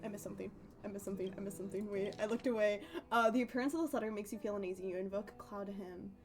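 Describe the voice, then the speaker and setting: A woman's voice: medium pitch, rapid-fire. A woman casually chatting as a livestream host, rambling playfully and often breaking off mid-thought. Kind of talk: casual gaming stream chat.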